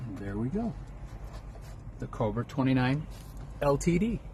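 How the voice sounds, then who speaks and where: A man's voice: medium pitch, sing-song, a little slow. Folksy man chatting over vehicle noise. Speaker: trucker vlogger. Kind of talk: casual unboxing vlog chat. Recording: clear background noise, vehicle ambience.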